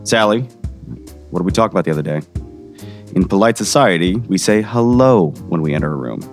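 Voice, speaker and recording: Weary folksy sheriff, acted by a man, low pitch, expressive swings, patronizing, radio drama. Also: fairly quick, faint music behind. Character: Sheriff Murphy, condescending, weary small-town male sheriff. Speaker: voice actor. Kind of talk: audio drama acting.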